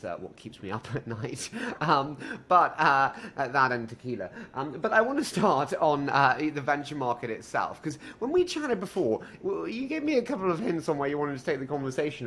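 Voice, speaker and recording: A young man in his twenties hosting a podcast interview, asking questions enthusiastically and quickly, with restarts. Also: mid-range voice, fairly bright tone, slight background noise. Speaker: podcast host.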